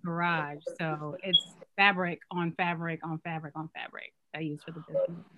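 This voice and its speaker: Chill woman from oakland, kinda low voice, soft and easygoing, laughs a bit. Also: level tone, moderate pace, lo-fi sound, a little hiss or hum. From Oakland, California. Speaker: clothing business owner and designer. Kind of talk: casual interview chat.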